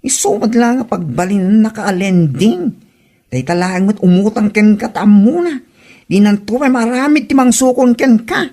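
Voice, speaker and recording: A woman's voice: mid-range voice, moderate pace, dark tone, natural voice. A woman with an Ilocano Filipino accent playing a nosy, blunt gossiping friend in a comedy audio drama. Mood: gossipy, insistent.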